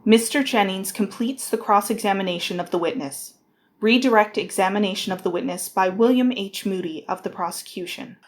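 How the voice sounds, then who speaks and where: A woman's voice: mid-range voice, fairly quick. A woman giving formal scripted narration for a dramatic reading of a trial.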